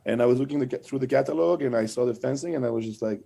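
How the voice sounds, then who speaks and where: A man with a French accent speaks in a mellow, relaxed voice at a medium pitch. He is an interview guest, recounting his experiences in loose, conversational English. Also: racing, vocal fry, slightly rough. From France and French-speaking Switzerland. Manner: conversational storytelling with frequent "like". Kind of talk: casual interview chat.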